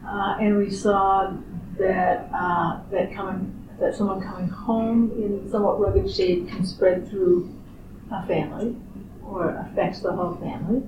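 A woman in her sixties, a researcher, answering interview questions in a measured, thoughtful way with frequent pauses. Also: medium pitch, fairly even intonation. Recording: roomy, distant, muffled recording with clear background noise.

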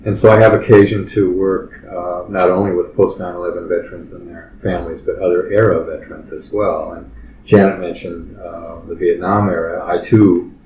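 Very deep-voiced older man, distant roomy sound. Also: moderate pace. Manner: steady and matter-of-fact, with many fillers. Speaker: clinical social worker. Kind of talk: interview answers. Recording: roomy, distant, muffled recording with faint background noise.

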